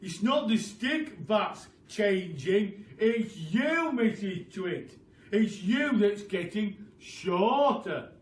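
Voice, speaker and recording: A man voicing a mean, bullying storybook husband, loud and forceful, gleefully taunting with slow, hammering emphasis. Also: sits high, expressive, voice pushed up, full character voice. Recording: roomy, distant, noisy home-style recording.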